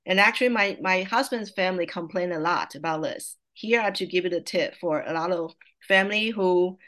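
A woman's voice: medium pitch, a bit bright. A middle-aged woman from Taiwan with a Taiwanese Mandarin accent, a native Mandarin speaker, chatting casually as a podcast guest, laughing often and correcting herself. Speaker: guest, Mandarin teacher and mother.

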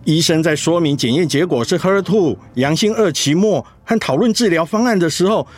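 Devoted worried husband, animated pitch. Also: male voice, fairly quick. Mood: distressed. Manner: earnest, affectionate, soothing. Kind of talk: Mandarin radio drama acting.

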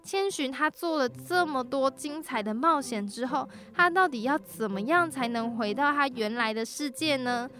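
A woman's voice: sits high, normal speed, few pauses, fairly bright tone. A woman hosting an animation podcast with scripted narration. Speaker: podcast host.

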